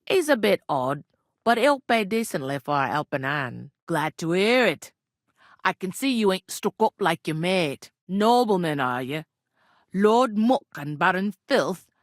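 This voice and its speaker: An actress playing a plain-spoken, bossy, world-weary village innkeeper in a medieval video game, with a rustic working-class English regional accent and a blunt, wry manner. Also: female voice, on the low side, sing-song, a little slow, no echo, clean studio sound. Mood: wry, chatty. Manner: blunt, earthy, colloquial, wry. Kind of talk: video game character dialogue.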